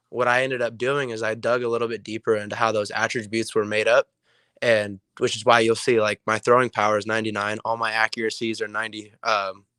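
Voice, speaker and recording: A hoarse young man with a raspy voice, a player answering interview questions in a rambling way with many ums. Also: on the low side, pushed and bright, dry recording. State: hoarse from illness. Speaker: player guest.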